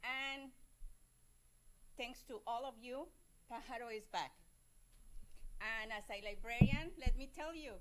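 A woman, a librarian and parent, speaking loudly and emphatically in halting phrases at a public meeting. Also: fairly high pitch, low-quality recording. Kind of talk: public comment. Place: large meeting room.

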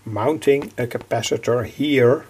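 An older man giving an informal technical explanation as a hobbyist presenter, halting and repeating his phrases. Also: medium pitch, darkish tone. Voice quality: gravelly, husky.

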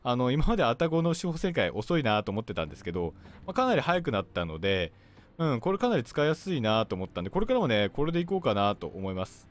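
A man commentating on gameplay as a video host, explaining politely in short, halting phrases. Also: mid-range voice, sing-song. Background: game music and artillery fire.

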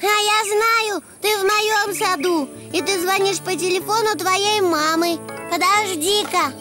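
An actor voicing a playful, excitable, innocent little boy donkey in a dubbed children's cartoon, with childlike, expressive delivery. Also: extremely high pitch, a little slow. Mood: cheerful. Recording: children's music playing behind.

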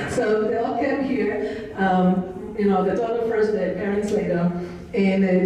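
A woman's voice: medium pitch, warm tone. A woman with an Israeli accent moderating a panel, informally introducing it to an audience in a conference room. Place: large indoor conference room. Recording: very echoey room, distant mic, faint noise.

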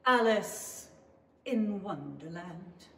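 A woman playing a measured narrator of a fairy story in a 1930s-style radio play. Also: on the low side, animated, gravelly, heavily acted. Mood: measured, wistful. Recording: roomy stage from far off, faint noise.

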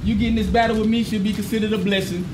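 A young man from Arkansas delivering taunting battle rap bars in a fairly high voice. He is heard from a distance in a boomy, echoey room. Crowd chatter sits clearly behind him. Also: fairly even intonation, rapid-fire, noisy background. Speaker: battle rapper. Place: small crowded indoor room.

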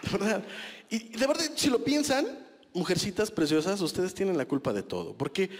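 A man's voice: high voice, sing-song, moderate pace, in a large hall, some chatter behind, a little hiss or hum. Self-mocking Mexican man doing stand-up. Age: 30s. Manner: conversational, self-mocking, punchy with crude slang. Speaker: stand-up comedian. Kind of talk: stand-up comedy set. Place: small club stage with a live audience. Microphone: handheld mic.

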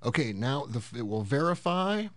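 A man hosting a casual software tutorial, explaining things step by step in an unhurried way. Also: medium pitch, sing-song, a little hiss or hum. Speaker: host of a tech tutorial series.

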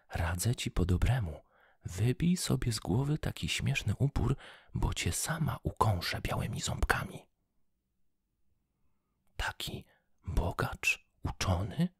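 A man's voice: fairly low pitch, gravelly, husky, dry recording, clean studio sound, pitched above the performer's own voice, exaggerated voice. A man voicing a scolding old female field mouse, whispering in a storybook reading. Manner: whispered, bossy.

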